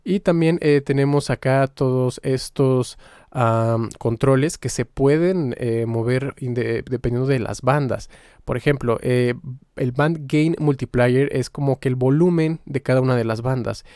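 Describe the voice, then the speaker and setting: A man giving an informal step-by-step software tutorial as the instructor, in a halting, explanatory manner. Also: mid-range voice, clean tone, dry recording, clean studio sound.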